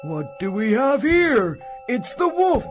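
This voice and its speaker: A man's voice: very high voice, sing-song, slow pace, over music, exaggerated voice. A man playing a determined hunter in a children's fairy tale, exclaiming and talking to himself. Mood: curious, triumphant. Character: determined hunter on the wolf's trail.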